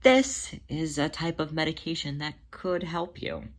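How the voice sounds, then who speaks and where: Motherly female cat medic for story podcast, voiced by the narrator; reassuring, patient, mid-pitched. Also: animated, unhurried. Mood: calm, earnest. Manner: soothing, patient, explaining. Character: Jelly Lorum, gentle reassuring motherly female cat healer.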